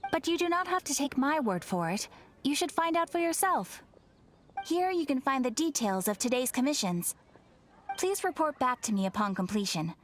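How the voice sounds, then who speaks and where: A woman's voice: sits high, very animated, normal speed, slight background noise. A woman playing a courteous young guild receptionist in a video game, speaking in a polite, formal, composed way. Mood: encouraging, formal. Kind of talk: scripted video game character dialogue.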